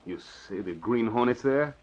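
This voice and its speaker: An actor playing a cold, scheming crime boss in an old radio drama, curt and menacing, with controlled anger. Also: male voice, mid-range voice, lively intonation, unhurried, darkish tone. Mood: calculating. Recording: dull vintage radio recording.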